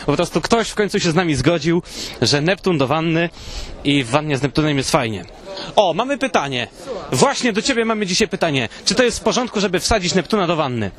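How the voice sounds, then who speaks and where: A man's voice: on the high side, very animated, fairly quick, fairly bright tone. A man hosting a podcast, casually putting questions to passers-by in street interviews and bantering. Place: outdoors on a busy city street. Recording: noisy outdoor street with people around.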